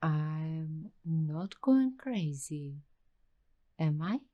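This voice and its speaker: A woman playing an uneasy, paranoid young waitress in an ASMR roleplay, speaking in hushed, deliberate, halting phrases with suspenseful pauses. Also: sits low, lively intonation, muffled recording, heavily acted. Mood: uneasy suspicion. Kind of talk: slow ASMR roleplay storytelling.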